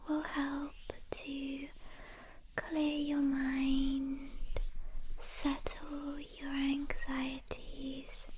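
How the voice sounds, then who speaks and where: A woman whispering a guided meditation in a soft, breathy, soothing ASMR style, leaving long pauses between short phrases. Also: high voice, background noise. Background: soft hand sounds and clicks.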